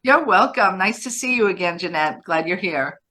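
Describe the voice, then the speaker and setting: A woman's voice: fairly low pitch, lively intonation, moderate pace, noisy background. A woman in her sixties hosting a live online webinar, upbeat and chatty as she takes callers' questions. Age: 60s. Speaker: host.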